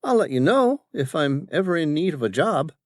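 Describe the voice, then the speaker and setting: A man's voice: fairly high pitch, big pitch swings, normal speed, darkish tone, dry recording, clean studio sound, pitched above the performer's own voice. A man voicing a composed young woman scientist who answers politely and with self-assurance.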